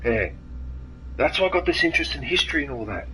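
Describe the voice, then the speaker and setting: A man's voice: a little high, lively intonation, fast talker, sharp tone, slight background noise, poor audio. Chatty man streaming a game. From Italy. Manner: chatty, talks straight to viewers, reacts aloud to the game. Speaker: gaming streamer. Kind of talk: casual livestream gameplay commentary.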